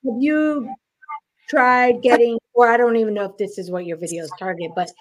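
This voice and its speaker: A woman hosting a podcast interview, talking quickly in a chatty way with many restarts. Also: on the high side, fairly even intonation. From New York. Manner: fast, chatty, frequent restarts and fillers. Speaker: podcast host. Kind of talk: casual interview chat.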